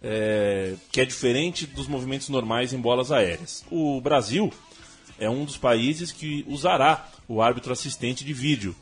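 A man's voice: mid-range voice, measured pace, soft background music. A man from Brazil hosting a casual sports talk show, thinking aloud and restarting his phrases often. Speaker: host.

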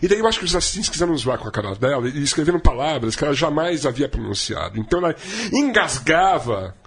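A man's voice: sing-song, fast talker, a bit bright, husky. A man co-hosting a podcast, telling a sardonic, rambling story in casual comedic chat. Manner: rambling, sardonic storytelling with big swings in pitch. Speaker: podcast co-host.

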